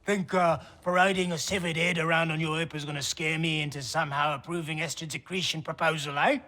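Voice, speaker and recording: An actor playing a suspicious middle-aged official in a video game, brusque, sardonic and combative. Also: male voice, a little high, fairly even intonation, quick pace, sharp tone. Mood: hostile, sarcastic. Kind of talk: cinematic video game acting.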